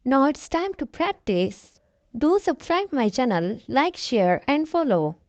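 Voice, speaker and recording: A woman hosting an English lesson, clearly repeating each sentence for her learners. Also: high-pitched, sing-song, takes it slowly, darkish tone, no room sound, lo-fi sound. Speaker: English teacher and channel host. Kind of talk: lesson introduction.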